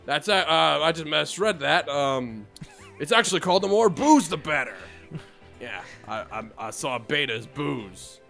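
A man plays a world-weary woman running a manga team in a comedic visual novel. The delivery is theatrical and crude, with a bright, forceful edge and fry, swinging widely in pitch. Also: big pitch swings, a little slow, faint music behind, heavily acted. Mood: exasperated. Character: gruff, foul-mouthed, jaded female manga team leader.

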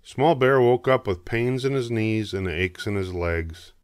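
An older man narrating a children's storybook, reading aloud in a measured, expressive way. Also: lowish voice, clear, resonant tone, no room sound, clean studio sound.

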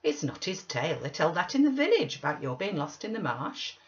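A woman reading a storybook role as an excitable, gossipy countrywoman servant with a chattering, exclamatory manner. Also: low-pitched, fairly quick, muffled recording.

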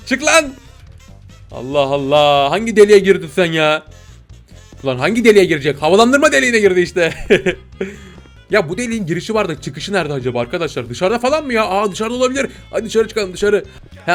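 High-pitched, excitable man commentating a game. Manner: excitable, joking, slangy exclamations. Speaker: gaming video host. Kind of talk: playful gameplay commentary to viewers. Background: game music.

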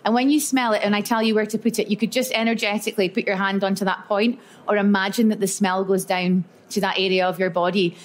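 A woman's voice: medium pitch, fairly even intonation, fast, few pauses. Woman, chatty, upbeat, live workshop talk. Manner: chatty and upbeat, storytelling with lots of "so" and "yeah". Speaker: speaker at a live expo talk. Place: event room with a live audience.

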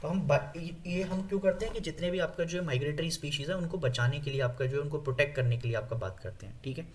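Indian man giving an exam lecture. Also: a little high. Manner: explains slowly with many fillers, asks viewers questions; reads English lines slowly. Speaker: teacher. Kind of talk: exam-prep lecture over slides.

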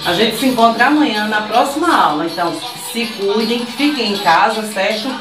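A middle-aged woman teaching young children, speaking slowly and patiently in a sing-song way. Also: mid-range voice, expressive, over music. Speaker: primary school teacher. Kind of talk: remote lesson for young children. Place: small decorated classroom indoors. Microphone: camera microphone from a few meters away. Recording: echoey room, voice from a distance.

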